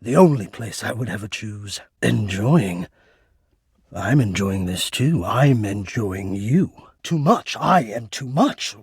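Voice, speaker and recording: A man's voice: lowish voice, expressive, slow, breathy edge, dry recording, studio quality, heavily acted. An actor voicing a lovesick, anxious male spirit of the air vents in a video game, with intense, theatrical and rather formal delivery. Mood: intimate, wounded. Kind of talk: dramatic romantic video game voice acting.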